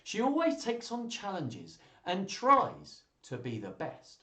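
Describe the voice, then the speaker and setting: A man acts out proud, adoring relatives from a children's storybook. The delivery is emphatic and sing-song, swooping widely in pitch, with drawn-out words. The room sounds echoey. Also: huge pitch swings, sharp tone, exaggerated voice. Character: storybook family members proudly praising a loved one. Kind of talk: slow, expressive children's story reading. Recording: roomy, echoey live stream sound.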